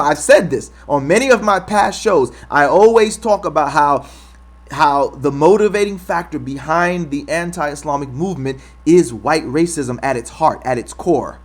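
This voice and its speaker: Male host, argumentative, repetitive, emphatic, fairly high-pitched voice. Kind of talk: opinion commentary on a clip.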